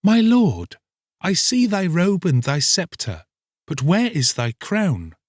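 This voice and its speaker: A man's voice: sits high, big pitch swings, takes it slowly, dry recording, studio quality, voice pushed up, heavily acted. A man voicing an innocent young page boy in a storybook tale, asking questions with polite wonder. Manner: polite, wide-eyed questioning.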